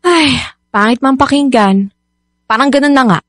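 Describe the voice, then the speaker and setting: A woman's voice: high-pitched, moderate pace, fairly bright tone, dry recording, clean studio sound. A woman with a Filipino accent playing a meek, dutiful young eldest daughter in a radio drama, hesitant and worn down. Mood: resigned. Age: young adult. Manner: hesitant, deferential. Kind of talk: radio drama acting in Tagalog.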